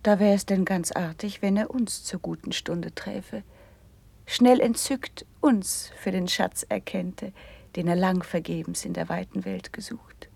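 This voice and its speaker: An actress playing a gentle, thoughtful noble princess, speaking formal verse in a measured, soft-edged way in a classical radio drama. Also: female voice, mid-range voice, vocal fry, slightly rough, no echo. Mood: pensive, composed. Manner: measured, formal, poetic declamation.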